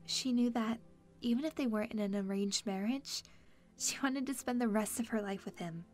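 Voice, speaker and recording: Woman doing sweet earnest young princess for an audio drama, kinda high voice, bit of music behind. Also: moderate pace, natural voice. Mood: wistful, earnest. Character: kind, earnest young princess, wistful and lovestruck.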